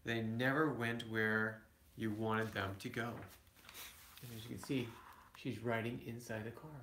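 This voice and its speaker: A man reads a picture book aloud in an echoey room, in a mid-pitched voice. He stumbles slightly now and then and drops into casual explanations, like a father reading to his children at home. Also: steady tone, a bit bright, a little hiss or hum. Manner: halting, with small stumbles and asides to children. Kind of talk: read-aloud storytelling with casual asides.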